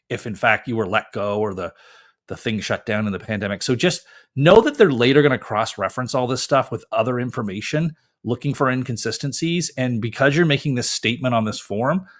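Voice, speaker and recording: Male lawyer from Canada, mid-range voice, informal screen-share explainer, plenty of ums and restarts. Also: medium pitch. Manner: casual, conversational, with frequent fillers and self-corrections.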